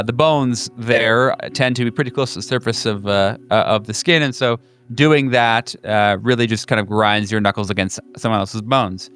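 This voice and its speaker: A man's voice: on the low side, a bit bright, clear, resonant tone. A man narrating a horror tabletop game as game master, in a hesitant, conversational and descriptive manner. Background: background music.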